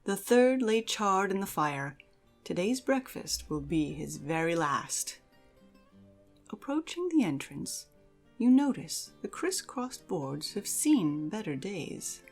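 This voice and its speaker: A woman giving a measured, theatrical reading as narrator of a fantasy audio drama. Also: medium pitch, very animated, soft background music. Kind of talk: dramatic second-person fantasy story narration.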